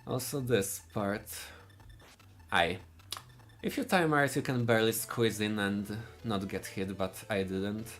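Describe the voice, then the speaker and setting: A man from Poland with a Polish accent, giving live speedrun commentary in a halting way, often restarting his sentences. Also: fairly low pitch, fairly bright tone. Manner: halting, searching for words, frequent restarts.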